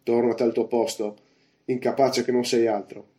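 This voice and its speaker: A man voicing a bluff one-legged pirate innkeeper in a storybook, loud and emphatic, firing off orders and questions. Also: mid-range voice, flat delivery, moderate pace, lightly acted. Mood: angry, stern. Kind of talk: audiobook character voice.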